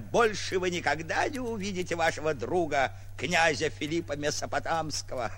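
A scheming fake prince in an old radio comedy. He has a highish, husky voice with lively, theatrical turns and elaborate mock courtesy. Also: exaggerated voice. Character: sly, smooth-talking swindler posing as a prince. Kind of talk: 1940s radio comedy acting. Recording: old 1940s radio recording.

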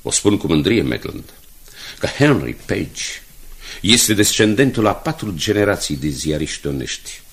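An actor playing a proud, weary, middle-aged newspaper owner in a radio drama, courteous and measured, with emphatic turns. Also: male voice, low voice, sing-song. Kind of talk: 1980s Romanian radio drama acting.

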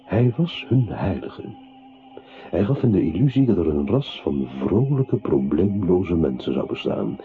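An actor playing a narrating voice in a literary radio play that recounts a composer's decline into silence. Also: male voice, low voice, big pitch swings, measured pace, faint music behind, exaggerated voice. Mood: ironic. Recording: muffled, noisy old radio recording.

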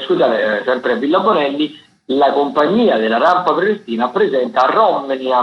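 A man presents listings on the radio in an informal, wandering style. His voice sits at an average pitch, and he stumbles, backtracks and corrects himself as he goes. The sound is a little dull, with clear room echo around the voice. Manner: halting, digressive, self-correcting. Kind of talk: informal spoken radio listings of upcoming shows. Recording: roomy, slightly dull radio broadcast sound.

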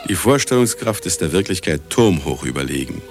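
An actor playing an irascible older scientist who also narrates, theatrical and commanding, in a German radio play. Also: male voice, low voice, very animated, brisk, creaky, over loud music, full character voice. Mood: wry, commanding.

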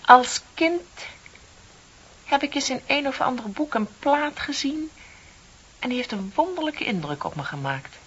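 A woman's voice: sits high, animated, measured pace, fairly bright tone. An actress playing a chatty, dreamy younger female office clerk in a Dutch radio play, with a theatrical, wryly ironic way of talking. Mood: musing. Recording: dull, hissy old radio recording.

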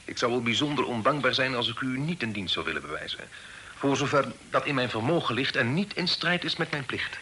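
An actor playing an honest, dutiful young gentleman in a period radio drama, speaking formally and earnestly. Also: medium pitch, expressive, a little fast. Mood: earnest, principled. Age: young adult. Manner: earnest, formal, sometimes hesitant. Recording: noisy old radio drama recording.

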